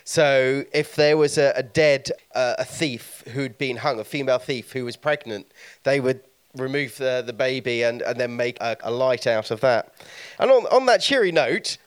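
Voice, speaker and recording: Guy chatting through old folklore, slightly high voice, dry close sound. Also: moderate pace, clean tone, no echo. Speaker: guest speaker, author on folklore. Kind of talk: informal talk on folklore.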